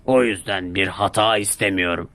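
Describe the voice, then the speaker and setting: A man voicing a stern, bossy, stout railway controller who gives orders in a measured, commanding way. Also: low voice, fairly even intonation, sharp tone, voice pushed down.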